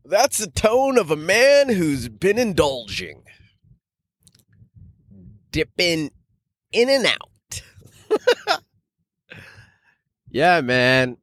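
A man's voice: high voice, very animated, extremely slow, pauses often, a bit bright, dry recording, studio quality. A man hosting a solo podcast, rambling casually and loosely in informal, sweary language like an amateur comedian.

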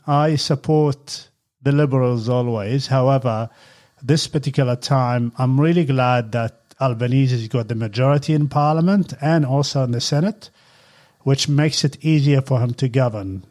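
A middle-aged man with a husky voice hosts a casual chat. He talks in a relaxed, halting way, with plenty of fillers and restarts. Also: mid-range voice. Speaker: podcast host, father.

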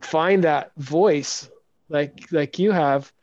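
Man, podcast host, soft-spoken, hesitant, somewhat high voice, dry muffled audio. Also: drawn-out words, no echo. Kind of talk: casual interview chat.

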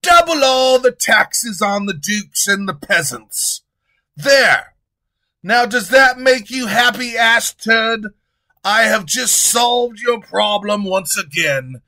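A man's voice: expressive, takes it slowly, pushed and bright, exaggerated voice. A man voicing a pompous, lazy, rude king who bellows insults at his servant. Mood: blustering. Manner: bellowing, insulting, shrill when panicked.

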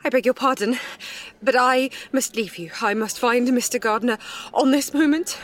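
A woman's voice: fairly high pitch, normal speed, voice pushed up, lightly acted. A woman reading aloud and voicing an impetuous young woman, urgent and breathless with distress. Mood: frantic. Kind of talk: audiobook character voice.

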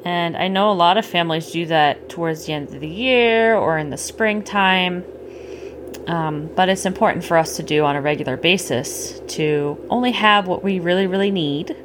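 A middle-aged woman chatting casually with a child at bedtime, gently explaining things and checking in with questions. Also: lowish voice, normal speed. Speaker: parent hosting a bedtime story podcast. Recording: clearly noisy home recording.

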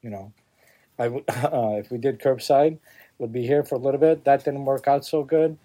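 A man answering interview questions casually as a small business owner, plainspoken and halting, repeating himself for emphasis. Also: mid-range voice, fairly even intonation, mellow.

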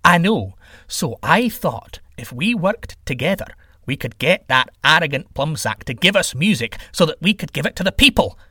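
A bossy, blustering god of the sky, performed by a male storyteller in a comic myth. He scolds impatiently and emphatically, with a high, swooping delivery and a close, dry sound. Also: very animated, normal speed, pushed and bright, breathy edge, dry recording, full character voice. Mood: scheming.

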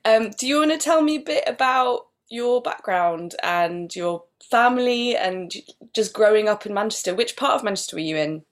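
A woman's voice: medium pitch, animated, moderate pace. A woman hosting a podcast, chatting with a guest in a gushing, effusive way. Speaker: podcast host. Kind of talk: casual interview chat.

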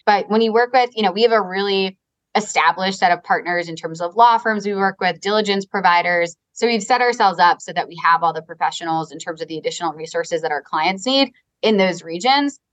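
A woman from Long Island with a Long Island accent, a company co-founder talking through a business interview in fluent, fast talk full of "like" and "you know". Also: fairly low pitch, rough recording. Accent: Long Island New York. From Long Island, New York. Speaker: guest, real estate advisory co-founder.

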